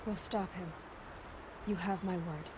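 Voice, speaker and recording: An actress playing a determined, wry young heroine in a video game, earnest and dry. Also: female voice, lowish voice, fairly even intonation, a little slow, noisy background, natural voice. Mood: resolute. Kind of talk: video game drama acting. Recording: clear stream and game ambience behind.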